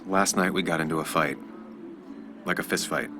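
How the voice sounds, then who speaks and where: A man playing a weary, dry-witted journalist in a video game, speaking in a low-key, deadpan way. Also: moderate pace, noisy background, natural voice. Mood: subdued. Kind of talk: naturalistic video game dialogue.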